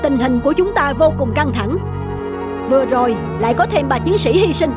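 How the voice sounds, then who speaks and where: A woman's voice: sits high, animated, a little fast, music in the background. A woman voicing a stern middle-aged male vice admiral in a cartoon, grave and commanding.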